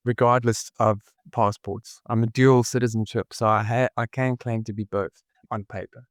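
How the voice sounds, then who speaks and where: A man's voice: medium pitch, steady tone, normal speed, dry recording, studio quality. A South African man with a South African accent, talking reflectively as a podcast guest and often searching for his words. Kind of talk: reflective conversational interview.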